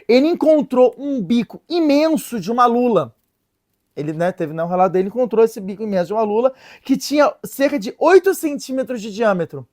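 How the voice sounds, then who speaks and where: Animated woman explaining with playful asides. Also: on the high side, fairly quick. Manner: reads and translates sources aloud. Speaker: religious video presenter. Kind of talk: casual explanatory lesson.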